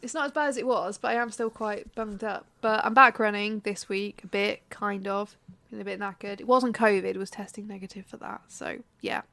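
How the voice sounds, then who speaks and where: A woman's voice: medium pitch, steady tone, fairly quick, gravelly, bright, forceful tone, no room sound. A young woman co-hosting a podcast, chatty and laughing easily, her voice a little congested from a cold. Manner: chatty, laughs often, playful boasting. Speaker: podcast co-host. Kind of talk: casual chat.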